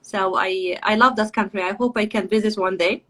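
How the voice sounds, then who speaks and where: A woman's voice: mid-range voice, fairly even intonation, moderate pace, a bit bright. A woman hosting a casual live video chat, speaking in a gushing, effusive way. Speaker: talk host.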